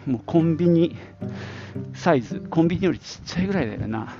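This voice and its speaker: A man's voice: fairly high pitch, expressive, quick pace, muffled recording, over music. A middle-aged man from the Nagoya area of Japan, talking casually on his own as a podcast host, in an informal, rambling way with little asides. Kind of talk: casual solo reminiscing.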